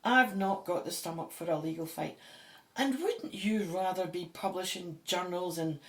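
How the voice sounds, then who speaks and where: A woman's voice: lowish voice, moderate pace, a bit bright. An actress playing a determined, diplomatic female Egyptologist in an audio drama. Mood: conciliatory.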